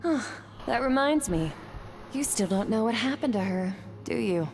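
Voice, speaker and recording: A woman voicing a calm, cool female swordswoman mentor who speaks in an even, composed, instructive way. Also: a little high, normal speed, slight background noise. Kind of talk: game voice acting.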